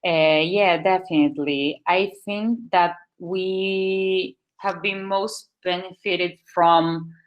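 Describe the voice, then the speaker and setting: A woman's voice: lowish voice, steady tone, slow pace, hardly any pauses, lo-fi sound. A woman, a marketing manager answering interview questions thoughtfully, with many fillers and restarts.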